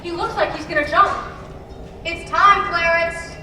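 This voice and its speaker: High-voiced heavenly overseer played by a woman. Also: steady tone, unhurried. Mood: informative, urgent. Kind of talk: old-time radio play acting. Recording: echoey hall, distant mic, noisy background.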